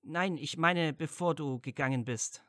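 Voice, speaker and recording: A man's voice: fairly high pitch, measured pace, fairly bright tone, dry recording, studio quality. A man playing an earnest, loyal, easily flustered young wizard friend in an audio drama. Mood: flustered, curious. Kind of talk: fan fiction audio drama dialogue.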